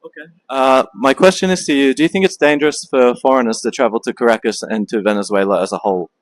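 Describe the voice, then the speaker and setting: A man working as a street interviewer, voice sitting mid-pitch and sounding dry and close. He asks short questions and repeats them, with the odd "uh" as he goes. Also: fast, no echo. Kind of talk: street vox-pop interview.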